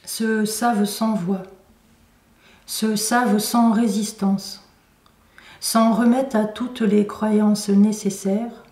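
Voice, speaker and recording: Woman reading her poem slowly, soft dark tone, kinda roomy and far from the mic. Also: medium pitch. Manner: measured, deliberate phrasing with pauses. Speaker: poet reading own work. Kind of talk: poetry recitation. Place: indoor room at a poetry venue. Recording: roomy echo, recorded from a distance.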